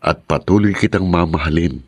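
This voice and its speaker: An actor playing an earnest, devoted, romantic sweetheart in a Filipino radio drama. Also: male voice, deep voice, a little fast, slight background noise. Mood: affectionate.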